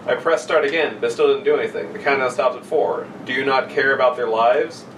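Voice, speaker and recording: A man's voice: medium pitch, fairly quick. Crude, sarcastic man in a noisy, echoey room. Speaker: podcast co-host. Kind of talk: casual joking chat and mock reading of an internet horror story. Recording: roomy, noisy, distant microphone.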